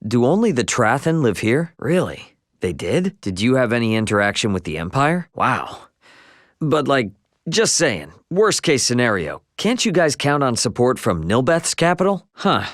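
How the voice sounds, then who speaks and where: A man acting a brash but friendly young spacefaring adventurer in a video game, with a casual, expressive, slangy delivery. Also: mid-range voice, moderate pace, no echo, clean studio sound, lightly acted. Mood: upbeat, friendly.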